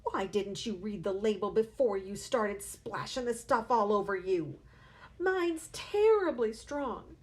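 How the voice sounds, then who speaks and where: A woman voicing a loud, scornful mother who shrieks at her husband in a storybook reading. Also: mid-range voice. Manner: shrieking, stammering with outrage. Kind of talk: read-aloud storybook acting.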